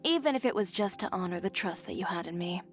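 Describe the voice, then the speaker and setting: A woman voicing a confident, sharp-tongued tailor in a game, talking with dry teasing wit. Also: lowish voice, lively intonation, fast talker, over loud music. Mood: sincere.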